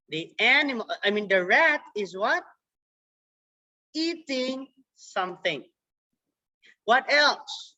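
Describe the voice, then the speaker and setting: A woman teaching a young children's science class over a video call, repeating words slowly for the kids. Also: a little high, pushed and bright. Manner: slow, repetitive, drawn-out words for children. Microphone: computer mic on a video call. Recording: dull lo-fi video call audio.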